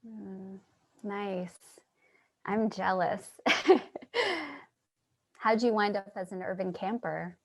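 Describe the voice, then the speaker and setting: Woman as slow-paced, sympathetic listener. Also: medium pitch, fairly even intonation. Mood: gentle, curious. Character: sympathetic, curious, lonely listener named Charlie. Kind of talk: staged play reading, naturalistic dialogue. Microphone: video call.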